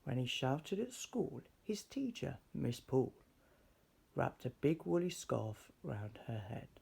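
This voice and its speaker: Slow, gravelly man reading a rhyming storybook. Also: mid-range voice, big pitch swings, darkish tone, slight background noise. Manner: theatrical, measured storytelling. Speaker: storybook reader. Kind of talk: expressive read-aloud of rhyming children's story.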